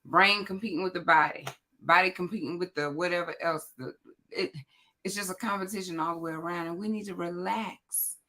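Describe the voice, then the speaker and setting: A woman hosting a motivational morning livestream, chatting loudly and directly to viewers, her voice raspy and hoarse. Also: lowish voice, fairly even intonation, brisk. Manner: chatty, loud, talks straight to the audience. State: hoarse, voice cracking. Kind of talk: casual motivational chat to viewers.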